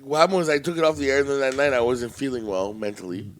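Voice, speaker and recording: A man's voice: mid-range voice, fairly even intonation, quick pace. A young man co-hosting a podcast, blunt and boastful, swearing freely in casual banter. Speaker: podcast co-host.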